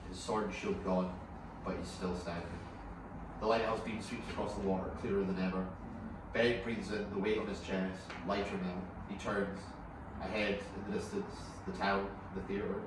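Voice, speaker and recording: A man narrating scene directions from a film script at a table read, in a dramatic scripted style. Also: low-pitched. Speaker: narrator reading stage directions. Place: echoey room at a group table read. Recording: very echoey, distant, noisy room.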